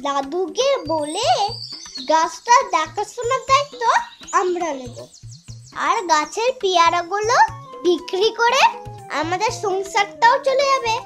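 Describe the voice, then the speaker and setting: A woman's voice: very high voice, animated, measured pace, music in the background. A woman voicing a clever, bossy little village sister in a children's cartoon, chiding and explaining things. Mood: earnest, explaining. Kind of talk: children's moral-story cartoon acting.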